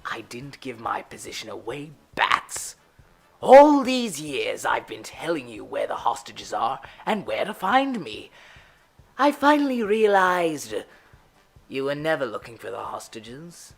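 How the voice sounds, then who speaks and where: A woman playing a sarcastic, sinister male clown villain in an audio drama, with a creaky voice and mocking, drawn-out delivery that swings from murmurs to shouts. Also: very deep voice, big pitch swings, heavily acted. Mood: taunting.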